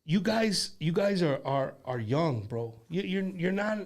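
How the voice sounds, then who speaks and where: A middle-aged man hosting a casual talk show that drops Spanish slang into English. His voice sits a little high for a man. He rambles and circles back on his words as he goes. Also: sing-song, measured pace, creaky. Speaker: show host. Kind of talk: casual chat with a band.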